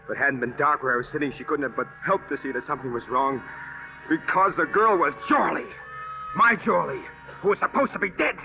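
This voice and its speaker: A man's voice: fairly high pitch, brisk, gravelly, sharp tone, low-quality recording. An actor playing a brooding, deceived man who poses as a bashful Texan, in old-time radio drama style. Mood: brooding, haunted. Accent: Texan drawl. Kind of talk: old-time radio drama acting with first-person narration. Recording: vintage radio drama with faint music.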